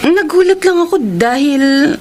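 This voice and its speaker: A coy, defensive woman shopkeeper in a radio drama. Her voice is high and very animated, with an unhurried pace and playful protest. Faint noise sits behind her. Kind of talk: radio drama acting in Tagalog.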